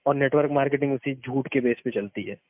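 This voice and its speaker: A man's voice: medium pitch, fast talker, dry recording. A man giving casual, emphatic advice on a phone call, explaining and repeating his points for stress. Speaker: experienced former network marketer giving advice. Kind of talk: casual advisory phone conversation. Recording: muffled narrowband phone call recording.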